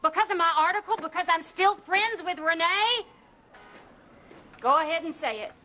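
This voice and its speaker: A woman's voice: very high-pitched, expressive, moderate pace, sharp tone, heavily acted. An actress playing a defiant Southern mother in a film drama, outspoken and sardonic, with a Southern US accent. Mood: furious. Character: fiery, defiant Southern mother with biting sarcasm.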